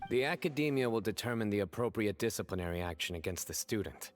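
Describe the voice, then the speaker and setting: A man playing a stern, composed legal officer in a video game, formal, authoritative and deadpan. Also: on the low side, quick pace, lightly acted. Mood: stern, official.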